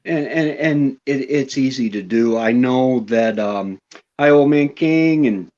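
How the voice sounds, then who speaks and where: Relaxed male stream host, repeats himself while thinking, dull phone-quality audio. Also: mid-range voice, measured pace. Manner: easygoing, repeats words as he thinks. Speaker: homestead live-stream host. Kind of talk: casual live-stream chat.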